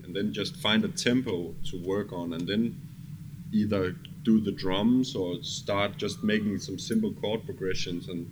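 A man's voice: lowish voice, fairly even intonation, unhurried. A man from Denmark with a Danish accent, talking casually and earnestly as a music producer in an interview, at discursive length with some swearing. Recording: clear background ambient noise.

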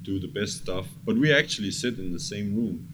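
A man's voice: on the low side, a little fast. A man explaining things casually as a music producer being interviewed, in slightly non-native English phrasing. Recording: clearly noisy background ambience.

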